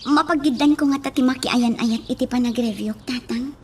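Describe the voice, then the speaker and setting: An actress with a Filipino accent playing a hesitant, worried young daughter in a radio drama. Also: female voice, high-pitched, normal speed, a little hiss or hum, close to an everyday voice. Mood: shy.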